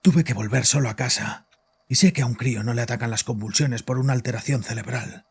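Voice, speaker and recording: An actor playing a distraught, domineering father in an audio drama, with a gravelly, husky voice and a halting, anguished manner of confessing. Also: medium pitch. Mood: haunted.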